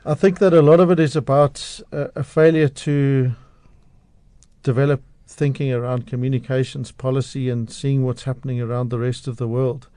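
Outspoken male guest with a mellow voice. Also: medium pitch, steady tone, normal speed, dry recording. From South Africa. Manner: passionate, outspoken, with frequent restarts. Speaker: radio guest, media analyst. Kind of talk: radio interview. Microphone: studio microphone.

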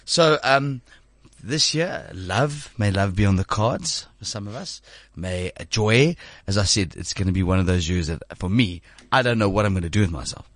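A man's voice: lowish voice, moderate pace, few pauses, no echo. A man in his thirties hosting a show, talking in a rambling way with many restarts. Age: 30s. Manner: rambling, stumbling restarts, animated. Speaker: show host. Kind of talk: casual studio chat.

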